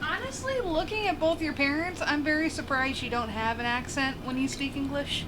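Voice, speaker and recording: A young woman with a high voice chats with a friend on a stream. She teases him and laughs easily. Noise hisses under her voice. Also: normal speed. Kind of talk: casual chat.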